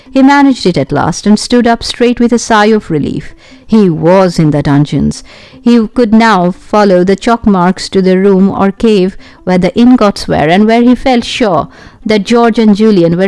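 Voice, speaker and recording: Lady reading a kids adventure audiobook, expressive, mid pitch, bit of music under it. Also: clear, resonant tone, no echo. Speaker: audiobook narrator. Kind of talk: expressive children's storybook narration.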